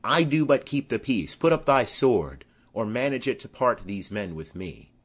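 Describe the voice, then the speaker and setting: A man's voice: medium pitch, animated, normal speed, close to an everyday voice. A man playing an earnest young nobleman and peacemaker, speaking formal Shakespearean lines. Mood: urgent. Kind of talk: Shakespearean audiobook acting.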